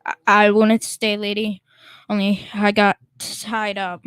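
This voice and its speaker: A woman's voice: medium pitch, completely flat delivery, measured pace, a bit bright, clear, resonant tone, no room sound, natural voice. A young female performer playing a blustering, cowardly middle-aged father in old-time radio comedy style, folksy, wisecracking and ungrammatical. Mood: frightened. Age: teenager.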